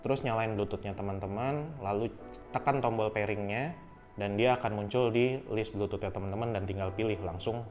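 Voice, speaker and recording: A young man casually reviewing a helmet intercom, explaining each step in a relaxed, friendly way. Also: lowish voice. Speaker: product reviewer.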